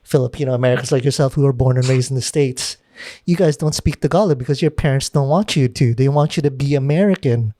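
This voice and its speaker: A man in casual podcast conversation, chatty and animated, whose pitch climbs when he makes a point, with some casual swearing. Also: fast talker, dry recording, studio quality.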